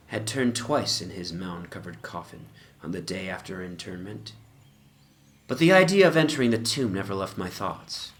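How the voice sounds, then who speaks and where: A man voicing an obsessed, morbid gentleman in a dramatic Gothic horror reading, with deliberate phrasing that often sinks into breathy whispers. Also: fairly low pitch. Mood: morbid eagerness. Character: obsessed, morbid gentleman recounting his haunted past. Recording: ambient soundscape noise behind the voice. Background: atmospheric horror soundscape.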